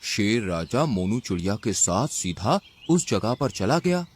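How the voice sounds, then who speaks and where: A man's voice: fairly low pitch, very animated, a little slow, husky. A man narrating a scripted children's story as the storyteller.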